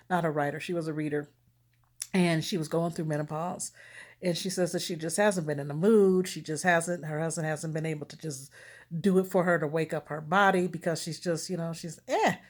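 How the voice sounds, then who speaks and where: A woman author speaking candidly and informally to an audience, expressive and emphatic, repeating key words for effect. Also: lowish voice. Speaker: erotic romance author promoting their own book.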